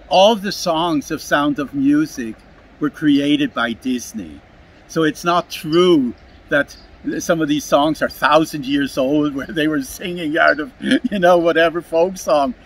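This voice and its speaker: Slow-talking man with a Swiss German accent. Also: on the high side, a bit bright, breathy edge. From Switzerland. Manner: halting, restarts often. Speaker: street performer. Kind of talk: casual chat. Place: outdoors in a park. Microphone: filming phone held by interviewer.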